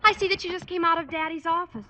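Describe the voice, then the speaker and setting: A woman's voice: very high voice, steady tone, fast, bright, forceful tone, slight background noise. An American woman acting a sweet, earnest, naive teenage schoolgirl in an old radio sitcom. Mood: cheerful. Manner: chirpy, earnest. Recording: muffled old radio broadcast.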